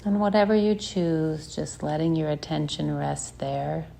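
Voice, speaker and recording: A woman guides a meditation in a low, mellow voice with a gravelly edge. She speaks slowly and gently, leaving long pauses between phrases, over a faint background hiss. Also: fairly even intonation. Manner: slow, soft, gentle guidance with long pauses. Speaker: meditation teacher.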